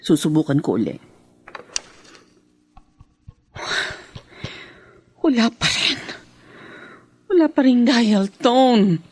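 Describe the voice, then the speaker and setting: A desperate captive woman in a Filipino radio drama. Her husky voice swings widely in pitch as she pleads, frets and schemes aloud with theatrical exasperation. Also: big pitch swings, unhurried, a little mellow, no echo, exaggerated voice. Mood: frustrated. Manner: exasperated, theatrical, talking to herself.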